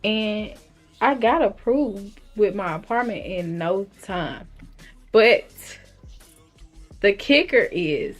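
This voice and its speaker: Young woman vlogger, mid-pitched, relaxed and slangy, faint music under her. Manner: candid, slangy, laid-back storytelling. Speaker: vlogger and hair stylist. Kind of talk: casual talking-to-camera vlog.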